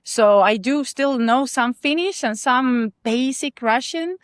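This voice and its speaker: A woman's voice: sits high, smooth, no room sound, clean studio sound. Woman, careful English. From Estonia. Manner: careful, explanatory, slightly halting non-native phrasing. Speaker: podcast guest, consultant. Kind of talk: interview answers.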